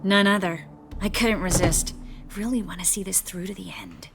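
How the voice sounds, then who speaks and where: A woman playing a proud, ruthless sorceress in a video game, with a cool, wry, deliberate delivery. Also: medium pitch, steady tone, creaky, over music, lightly acted. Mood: teasing.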